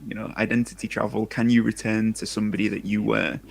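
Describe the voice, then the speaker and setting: Guy with a lowish creaky voice, author chatting on a livestream, kinda reflective and explainy. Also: level tone, fast, a little hiss or hum. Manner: reflective, explanatory, thinking aloud. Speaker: author, guest discussing own book. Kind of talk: casual livestream discussion.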